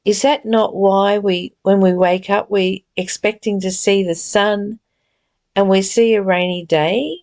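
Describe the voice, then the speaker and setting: A woman giving a reflective spiritual reading and teaching in an earnest, deliberate, gently exhorting way. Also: medium pitch, fairly even intonation. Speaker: reader and spiritual study teacher. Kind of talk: read-aloud spiritual text with reflective, informal commentary.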